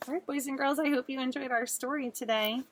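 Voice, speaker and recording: A woman's voice: high voice, steady tone, fast talker, a little hiss or hum. A woman teacher reading a children's storybook aloud expressively, speaking directly to young listeners.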